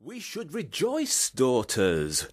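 An actor in a radio play as an elderly clergyman father, gentle, indulgent and world-weary, speaking in a measured paternal way. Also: medium pitch, wildly swooping pitch, heavily acted. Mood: gently reassuring.

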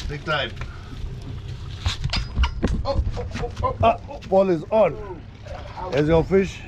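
An older man filming a fishing trip on an open boat, giving excited running commentary and coaching with repeated short calls. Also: on the high side, slow pace, crowd noise. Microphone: action camera on board. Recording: noisy boat and sea wind.

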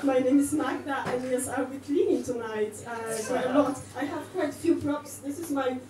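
A young woman from Poland with a Polish accent doing a stand-up set in character as a cleaning lady, talking in a chatty, halting way full of fillers and giggles, on a small club stage with a handheld mic. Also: high-pitched, crowd noise. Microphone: handheld mic, heard from a distant camera. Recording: roomy club sound from far off with audience noise.

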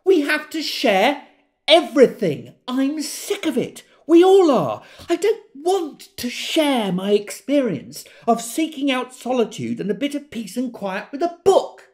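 An actor playing a wry British character in an audio drama, telling memories conversationally and quick to exasperation. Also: male voice, very high voice, wildly swooping pitch, a little mellow, full character voice. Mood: exasperated outburst. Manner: conversational, acts out quoted speech. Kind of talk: intimate audio drama monologue.

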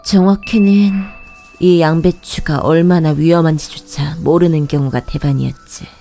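Woman as a brooding low-voiced storybook witch. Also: steady tone, gravelly, mellow, soft background music. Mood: cold, reflective. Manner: measured, ominous, matter-of-fact. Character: brooding witch who raises a child in hiding. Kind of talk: scripted first-person horror fairy-tale narration.

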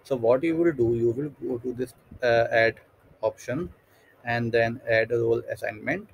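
A man giving a screen-recorded software tutorial, thinking aloud step by step with many fillers and restarts. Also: medium pitch, moderate pace, gravelly, lo-fi sound, a little hiss or hum. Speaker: tutorial instructor.

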